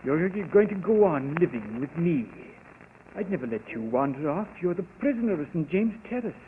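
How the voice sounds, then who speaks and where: An actor playing a cruel, taunting husband in a 1940s radio drama, with a sneering, interrogating delivery. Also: male voice, fairly high pitch, very animated, normal speed. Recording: old radio broadcast, tinny and hissy.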